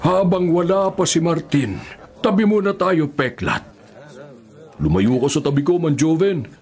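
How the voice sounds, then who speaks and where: A man's voice: fairly high pitch, expressive, measured pace, darkish tone. An actor playing a hot-tempered, bullying male inmate in a radio drama, aggressive and impatient. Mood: irritated.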